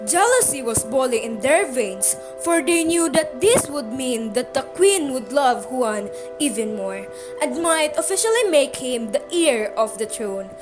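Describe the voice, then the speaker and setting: High-voiced woman narrator over music. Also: animated, measured pace. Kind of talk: scripted storybook narration for radio play.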